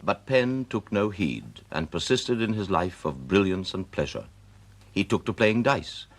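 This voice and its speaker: An actor as storyteller, a man with a British accent giving measured literary narration in a radio drama. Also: low-pitched, big pitch swings, exaggerated voice. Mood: wry, measured. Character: male storyteller narrating a Victorian novel.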